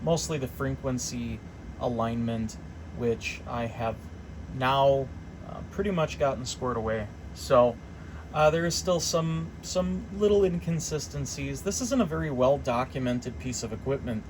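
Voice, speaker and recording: A man with an average-pitched voice gives an informal, hands-on demonstration as a hobbyist repairer. He talks in a halting, think-out-loud way, unscripted and explanatory. A clear, steady background noise runs under his voice. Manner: halting, thinking aloud as he goes.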